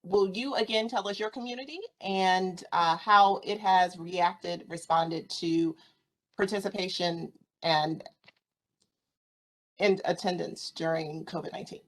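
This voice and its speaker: A woman moderating a panel, polite and measured, with some fillers. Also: lowish voice, steady tone.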